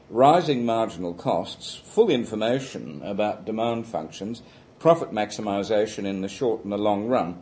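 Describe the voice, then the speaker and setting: A man, giving an academic seminar lecture as a guest speaker into a microphone. He talks loudly and deliberately, with frequent ums and uhs. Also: fairly low pitch. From Australia. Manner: loud, deliberate, hesitant with many fillers. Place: seminar room at a college.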